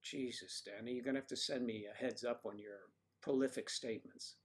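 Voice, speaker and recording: A man hosts a casual livestream from home, reading out viewers' comments. He rambles and cracks jokes as he greets people in the chat. His voice sits at an ordinary middle pitch. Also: steady tone, brisk. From United States. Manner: chatty, jokey, rambling, talks to viewers by name.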